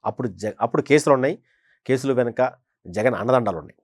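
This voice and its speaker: Casting: male senior journalist, middle-pitched, emphatic and repetitive pundit delivery, dry close recording. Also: quick pace, darkish tone, dry recording. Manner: emphatic, repeats phrases for stress. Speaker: senior journalist, political analyst. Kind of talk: conversational political commentary.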